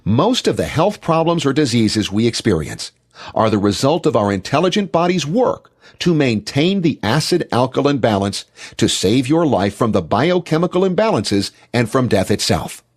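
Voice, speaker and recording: A man's voice: medium pitch, big pitch swings, moderate pace, husky. A man delivering scripted documentary narration about science and health.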